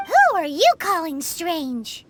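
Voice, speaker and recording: A woman voicing a tiny, excitable, chatty fairy companion in a squeaky childlike voice, exclaiming in video game cutscenes. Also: takes it slowly, full character voice. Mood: indignant. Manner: exclamatory, speaks of self in third person. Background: music.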